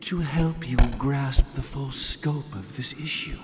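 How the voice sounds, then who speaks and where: An actor playing an authoritative, calculating mentor in a game, speaking formally and deliberately with stern calm. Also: male voice, mid-range voice, noisy background, natural voice. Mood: grave, probing. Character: authoritative male dream master, calm, grave, calculating mentor. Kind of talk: scripted game dialogue.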